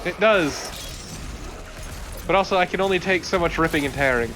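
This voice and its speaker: A man's voice: a little high, moderate pace, music in the background. A man co-commentating on a game in casual, joking banter. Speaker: let's play co-commentator. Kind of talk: casual banter over gameplay. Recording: loud game noise behind voice.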